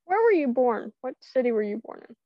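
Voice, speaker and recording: A woman's voice: high voice, very animated, a little fast, no echo. A young woman with a light Louisiana accent co-hosting a podcast, adding wry, teasing comments. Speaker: podcast co-host. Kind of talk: casual chat. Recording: dry, slightly muffled recording.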